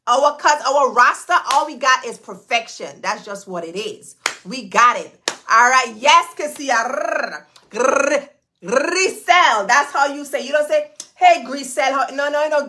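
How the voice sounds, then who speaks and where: Chatty woman livestream host, bright voice, phone-mic sound. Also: high voice. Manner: chatty, hypes viewers, repeats words. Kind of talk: casual livestream chat greeting viewers. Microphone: phone camera.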